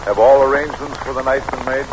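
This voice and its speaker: A man's voice: fairly even intonation, lightly acted. Guy acting as a tired folksy american president, dry humor, thoughtful, old noisy radio play. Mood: reflective, dry. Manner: reflective, unhurried, drily humorous. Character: weary, wry, folksy American president, middle-aged man. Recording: noisy old radio recording, rumbling background.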